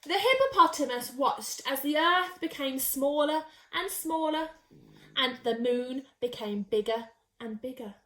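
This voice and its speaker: A woman's voice: high voice, expressive, unhurried, pushed and bright. A woman reading a picture book to young children, expressively and with dramatic emphasis. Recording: echoey, roomy sound.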